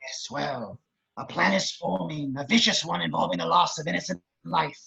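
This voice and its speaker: A man playing a crude, lecherous, scheming villain running for mayor, with a very raspy voice and wisecracking delivery, in an amateur script read. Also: a little high, normal speed, pushed and bright, highs cut off, heavily acted. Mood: smug scheming. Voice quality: very raspy, gravelly. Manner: leering, wisecracking.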